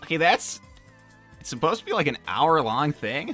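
Man as a cocky teen cartoon hedgehog, bright forceful voice, slangy, exasperated, kind-hearted, faint music behind. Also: on the high side, very animated, heavily acted. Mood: defensive. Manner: casual, halting, exasperated, slangy. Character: cocky but kind teenage male hedgehog hero. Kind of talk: improvised character roleplay on a livestream.

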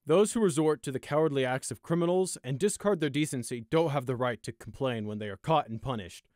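A man's voice: mid-range voice, lively intonation, fairly quick, a little mellow, no room sound, clean studio sound, close to an everyday voice. A man voicing a stern male unicorn guard with a firm, scornful, clipped delivery in a storybook reading. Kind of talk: audiobook character acting.

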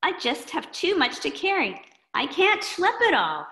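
A woman's voice: extremely high pitch, wildly swooping pitch, unhurried, sharp tone, reverberant, pitched above the performer's own voice, heavily acted. A woman voicing an overloaded young boy in a storybook reading, with a raised, slightly whiny kid voice. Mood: pleading. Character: overloaded young boy who carries too much.

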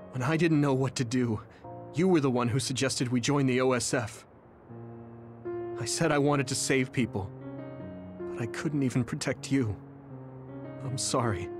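Guy doing an earnest young soldier, steady mid voice, music playing. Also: mid-range voice, natural voice. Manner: earnest, determined. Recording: game cutscene with music behind.

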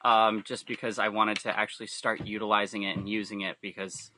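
A man's voice: lowish voice, little pitch movement, pushed and bright, a little hiss or hum, rough recording. A man speaking as a podcast guest in casual, personal storytelling, rambling a little and correcting himself. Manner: rambling, halting, self-correcting. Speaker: podcast guest, hobby camper builder.

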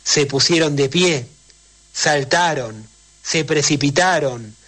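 A man reciting poetry in a solemn, declamatory way with measured phrasing. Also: on the high side, a bit bright.

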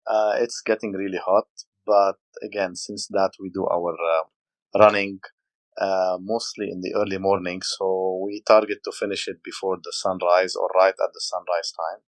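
A man's voice: sits low, level tone, moderate pace, no echo, poor audio. A man from Lebanon in his forties, a podcast guest telling stories in casual talk with many hesitations. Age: 40s. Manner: hesitant, many fillers, storytelling.

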